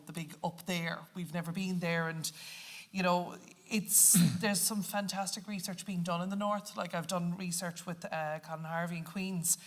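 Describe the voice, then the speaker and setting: Chatty Irish woman lecturer, lower voice. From Ireland. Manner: chatty, conversational, rambling. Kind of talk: panel discussion.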